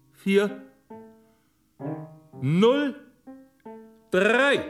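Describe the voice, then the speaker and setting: A theatrical mind reader in a radio play, his high voice rising and swooping. He is polite yet imperious and takes his time, with piano music playing behind him. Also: heavily acted. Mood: polite, commanding. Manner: deliberate, formal, polite but imperious. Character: commanding male stage hypnotist and mind reader, suave showman. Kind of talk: radio drama acting.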